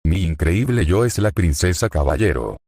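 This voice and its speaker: Aggressive irritated young fighter, as a deep-voiced man reads him. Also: sing-song, moderate pace, darkish tone, husky, no echo, exaggerated voice. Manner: barking, irritated.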